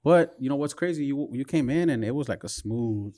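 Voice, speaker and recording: A man's voice: medium pitch, expressive, fairly quick, mellow, no room sound, clean studio sound. A man hosting a casual podcast, chatting informally and encouragingly. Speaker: podcast host and tattoo studio owner.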